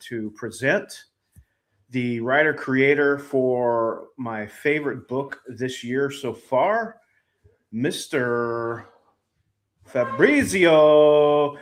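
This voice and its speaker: A man's voice: mid-range voice, lively intonation, extremely slow, a bit bright. A man hosting a casual live-stream interview about comics, upbeat and hesitant, with many "um"s, speaking into a new desk mic at home. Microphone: new USB mic, home setup.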